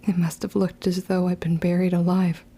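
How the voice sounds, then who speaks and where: A woman's voice: fairly low pitch, little pitch movement, normal speed, warm tone. A woman playing a guarded, wounded young witch girl who tells her story in formal period speech in an audio drama. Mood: anguished. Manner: archaic, formal period diction. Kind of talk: confessional first-person audio drama narration and dialogue.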